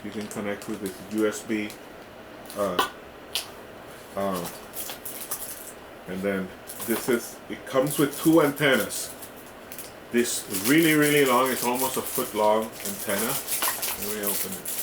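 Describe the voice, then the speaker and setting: A man giving a casual hands-on product demo in a halting way, often checking in with the listener. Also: on the low side. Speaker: product reviewer. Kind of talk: casual hands-on unboxing demonstration. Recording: roomy echo with clear background noise.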